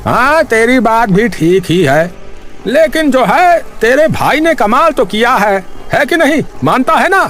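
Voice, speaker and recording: A man acts out a genial elderly villager in an animated folk tale. His voice is high and very lively, full of chatty questioning. Faint music sits underneath. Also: unhurried, heavily acted. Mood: gossipy, teasing. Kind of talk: Hindi animated moral story voice acting.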